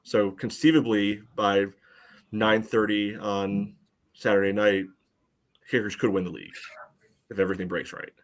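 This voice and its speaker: A man's voice: fairly low pitch, fairly even intonation, moderate pace, pauses often. A man co-hosting a soccer podcast, talking through analysis in a casual, dry, wry way. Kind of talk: casual sports analysis chat.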